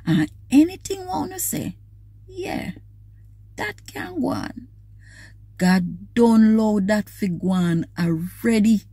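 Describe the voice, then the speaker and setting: A middle-aged woman with a Jamaican accent reading aloud devotionally in a measured, expressive way. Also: mid-range voice, slightly rough, dry recording. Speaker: scripture reader. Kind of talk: devotional read-aloud in a casual, personal setting. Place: inside a parked car.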